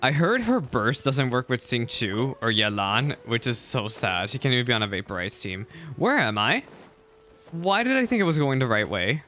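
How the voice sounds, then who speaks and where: A man casually streaming a game, chatty and excitable, repeating himself often as he talks. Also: medium pitch, moderate pace, faint music behind. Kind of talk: casual livestream commentary.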